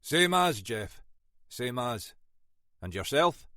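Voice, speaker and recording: A wry, teasing older police inspector, voiced a touch higher than the reader's own tone, as a male narrator puts him on. The lines swing up and down in pitch and come slowly, and the recording is close and dry. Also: big pitch swings, pushed and bright, no room sound, full character voice. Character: Detective inspector Monroe, older man, wry and dry-humoured.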